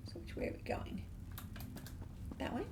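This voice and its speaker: A woman's voice: moderate pace, very noisy. A woman hosting a casual game playthrough, musing aloud as she goes. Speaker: gaming host.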